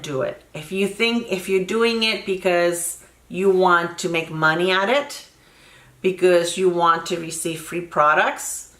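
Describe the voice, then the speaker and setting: A woman in her sixties, originally from Cuba, talks openly to her viewers, wandering between thoughts with lots of fillers. Her voice sits low yet has a bright, forceful edge. The room around her rings with echo. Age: 60s. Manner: candid, rambling, many fillers. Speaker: beauty vlogger. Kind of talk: casual chat to camera. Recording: echoey room.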